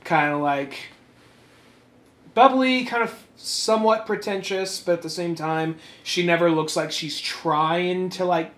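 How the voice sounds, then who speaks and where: A man co-hosting a podcast, giving casual, animated commentary on a comic with joking profanity. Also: high-pitched, measured pace, reverberant. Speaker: podcast co-host.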